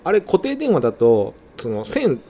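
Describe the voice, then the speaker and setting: A man's voice: medium pitch, normal speed, husky. A man hosting a radio chat, keeping the talk going with frequent short agreements. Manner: steady backchanneling with short nods of agreement. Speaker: radio host.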